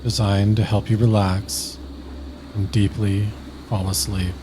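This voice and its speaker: A man narrating a scripted guided sleep meditation slowly and soothingly, with long pauses. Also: fairly low pitch, little pitch movement, background noise.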